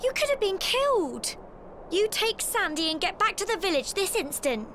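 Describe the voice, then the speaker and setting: A woman playing a spirited, warm-hearted young village heroine in a video game cutscene, with a British accent and an expressive, plucky delivery. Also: very high voice, normal speed, slight background noise, heavily acted. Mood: stern, worried.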